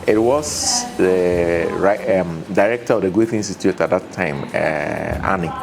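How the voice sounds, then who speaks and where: Very deep-voiced man recounting a story. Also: a little slow, creaky. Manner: animated storytelling, quoting himself. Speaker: festival director. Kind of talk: interview. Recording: music under voice. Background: music bed.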